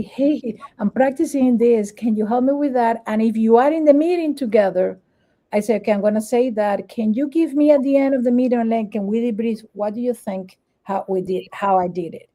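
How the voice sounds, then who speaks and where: A woman's voice: medium pitch, warm tone. A middle-aged woman from Venezuela with a Venezuelan Spanish accent, a native Spanish speaker, chatting earnestly as an interview guest and repeating her key points. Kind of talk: casual interview chat.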